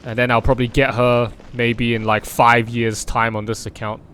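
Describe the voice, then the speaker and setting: A man's voice: medium pitch, little pitch movement, normal speed, fairly bright tone, a little hiss or hum. A man doing casual live game commentary in a joking, teasing way. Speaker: live streamer.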